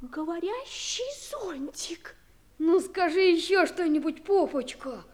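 An actress playing wide-eyed young children in a 1960s audio play, exclaiming and wondering. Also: female voice, very high-pitched, lively intonation, slow pace, exaggerated voice. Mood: curious, wary.

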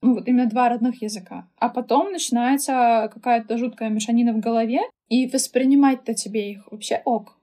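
Casting: young woman, podcast guest, lightly high pitch, warm dark timbre, informal explanatory chat. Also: steady tone, brisk, hardly any pauses. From Russia. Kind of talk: casual interview chat.

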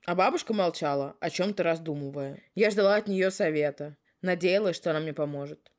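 A woman's voice: fairly low pitch, fairly quick. A woman co-hosting a podcast, moving between casual chat and reading story narration, playful, teasing and given to swearing. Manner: playful, teasing, foul-mouthed. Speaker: podcast co-host.

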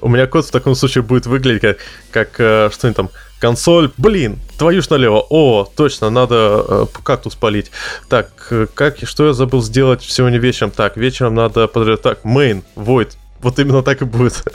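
A man's voice: medium pitch, a little fast. Enthusiastic man host with faint music behind. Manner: enthusiastic, jokey. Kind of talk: casual panel discussion.

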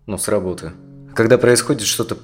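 An actor playing a tense, dissatisfied male therapy client in his thirties, speaking haltingly in a naturalistic audio drama. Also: on the low side, faint music behind, natural voice. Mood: frustrated, angry. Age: 30s. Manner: hesitant, halting, sighing speech.

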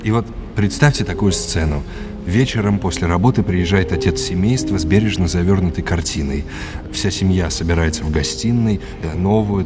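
An actor playing a cultured, courteous male gallery expert who explains things in a measured storytelling manner. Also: sits low, close to an everyday voice. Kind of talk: audio drama, guide-like narration. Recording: loud music behind the voice. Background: music.

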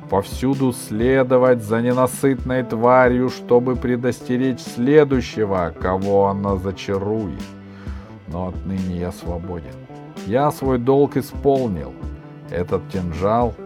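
A man's voice: lowish voice, slow pace. A man voicing a grim, weary wronged husband in a storybook tale, confessing solemnly. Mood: weary, resigned. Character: grim, weary divorced husband of a she-djinn. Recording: music behind the reading.

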